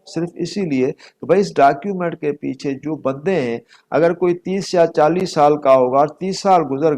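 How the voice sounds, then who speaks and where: A man's voice: fairly high pitch, darkish tone. A middle-aged man with a Pakistani accent giving an explanatory law lecture, repeating points for emphasis and dropping in English legal terms.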